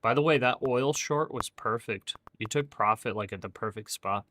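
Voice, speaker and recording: A young man hosting a live trading stream, chatting casually with viewers in a monotone voice and calling them bro and dude. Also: medium pitch, normal speed, slight background noise. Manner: says his own voice is monotone. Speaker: livestream trading host.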